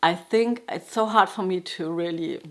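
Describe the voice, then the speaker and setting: A woman's voice: lowish voice, normal speed, a bit bright. A middle-aged woman with a German accent, a guitar teacher who talks candidly and thoughtfully about her work, often with a self-deprecating tone. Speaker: jazz guitar teacher and YouTuber. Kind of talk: reflective casual monologue. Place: her home room.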